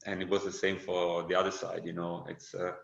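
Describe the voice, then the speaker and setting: A man with an Italian accent, Italian by birth, being interviewed on a podcast and talking in a relaxed, unhurried way with many fillers and self-corrections. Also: sits low, gravelly. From Italy. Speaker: guest, windsurf brand founder. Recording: roomy, slightly dull sound.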